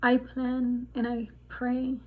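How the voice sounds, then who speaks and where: Woman in her 40s rambling on a vlog, higher voice, sounds like a tinny phone line. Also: monotone, slow. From Dominican Republic. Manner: rambling, many fillers and restarts, laughs often. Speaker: vlogger. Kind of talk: casual spiritual vlog chat.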